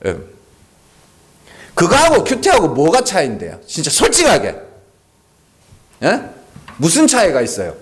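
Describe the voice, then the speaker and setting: A man preaching to his congregation at a Friday prayer service, pitched a bit high with a swooping, lively delivery. He talks to them conversationally, pressing points home emphatically and peppering the sermon with rhetorical questions. He sounds engaged and insistent throughout. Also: measured pace, long pauses. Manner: emphatic and animated. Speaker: pastor. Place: church sanctuary.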